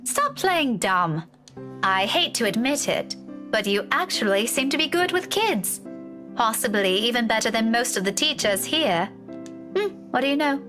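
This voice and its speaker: An actress playing a blunt, needling schoolteacher with grudging kindness in a visual novel. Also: female voice, on the high side, very animated, normal speed, music in the background. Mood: grudging, teasing. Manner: frank, teasing, lecturing. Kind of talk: dramatic visual novel voice acting.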